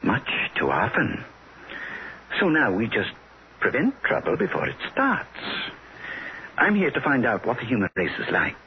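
Genteel little old alien, swooping pitch. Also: male voice, huge pitch swings, a little slow, long pauses. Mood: matter-of-fact, ominous. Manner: genteel, hesitant word-searching, matter-of-fact. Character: small old male alien, polite, calmly menacing. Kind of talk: 1960s radio drama acting. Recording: dull, noisy old radio recording.

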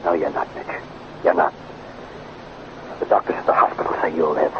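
An actor playing a good-natured, modest space adventurer in a 1950s children's radio serial. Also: male voice, rumbling low, level tone, normal speed. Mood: concerned. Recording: dull, noisy old radio recording.

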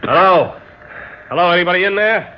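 An actor playing a gruff, take-charge pilot with a blunt, commanding manner, in 1960s radio drama style. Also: male voice, high voice, a little fast, natural voice. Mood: urgent. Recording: noisy, dull 1960s radio recording.

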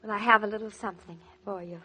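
An actress playing a young newlywed wife in 1940s radio drama, coy, hesitant and innocently stubborn. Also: female voice, medium pitch, steady tone, fast, bright, forceful tone, slightly rough, rough recording. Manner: hesitant, artless, wheedling.

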